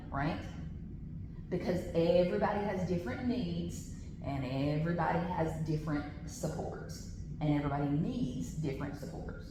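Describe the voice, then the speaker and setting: A woman with a loud voice leading a classroom training, chatty, with personal asides and frequent restarts. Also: fairly low pitch. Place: large training room with tables. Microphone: camera on the table. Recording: echoey room, background noise.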